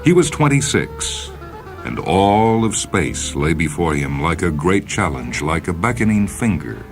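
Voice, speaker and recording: A man narrates fiction in an extremely deep voice. His delivery is measured and dramatic, the expressive reading of a radio storyteller. Soft music sits behind the voice. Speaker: reader of short stories for a radio program.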